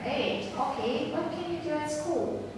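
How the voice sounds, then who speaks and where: A young woman teacher asking a child test questions slowly and clearly, with short praise between answers. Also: high-pitched. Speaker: English teacher giving an oral test. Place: small classroom, indoors. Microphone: far camera. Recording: echoey room, far from the mic, background noise.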